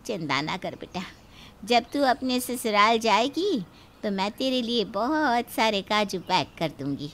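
A woman voicing a doting, pitying middle-aged mother in a cartoon family drama, fussing in a drawn-out way. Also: a little high, animated, noisy background, pitched below the performer's own voice. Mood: lamenting, consoling.

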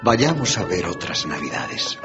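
Solemn spirit guide, knowing, very animated pitch, radio drama, muffled sound. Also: male voice, unhurried, music in the background. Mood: probing. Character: solemn ghostly spirit guiding an old man through memories.

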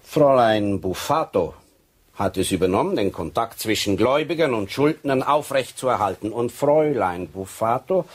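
An actor playing a methodical police sergeant in a radio crime drama, speaking deliberately and insistently with a husky voice. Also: male voice, medium pitch, lively intonation. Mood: methodical, pointed. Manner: deliberate, insistent, explaining step by step. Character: methodical Swiss police sergeant laying out a case.

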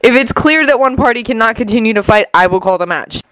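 Woman as a brisk, flippant male referee, pitched up. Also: lively intonation, a bit bright, dry recording, poor audio. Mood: brisk, official. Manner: matter-of-fact, announcing.

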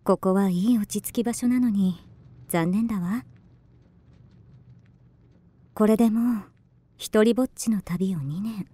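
A woman's voice: medium pitch, normal speed, mellow, breathy edge, no echo, close to an everyday voice. A woman playing a wistful, guarded lady traveller in mourning in a radio drama, refined and reserved. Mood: gracious, wistful.

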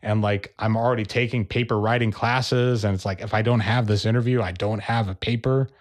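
A man speaking as a podcast guest, a relaxed storyteller in casual interview chat. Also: lowish voice, fairly quick. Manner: relaxed storyteller, acts out quoted people. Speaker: percussionist and educator, podcast guest.

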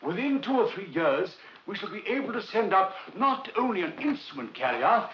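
A confident, visionary professor in a 1950s film drama. He lectures formally in a slightly high, animated male voice. The old soundtrack is dull, with faint noise. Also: normal speed. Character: confident, visionary professor leading a rocket team.

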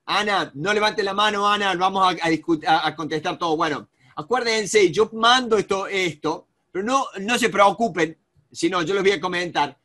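A man's voice: high-pitched, normal speed, sharp tone. A man in his forties with an Argentine accent, a negotiation coach giving a live online webinar from a home office, rambling with many restarts and fillers over a webcam. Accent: Argentine Spanish. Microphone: video call webcam.

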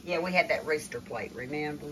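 An older woman chatting casually from behind a phone camera in a home kitchen, gently coaxing a child with tag questions while filming. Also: low voice, brisk, heavy background noise. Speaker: vlogger filming a grandchild cooking. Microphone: phone camera held by the speaker. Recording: kitchen clatter of pots and dishes.

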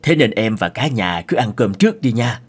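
A man's voice: lowish voice, very animated, moderate pace, slightly rough. An actor playing an earnest, anxious middle-aged male inventor in a cartoon, speaking expressively. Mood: earnest, grateful. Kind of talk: animated moral-tale cartoon dubbing.

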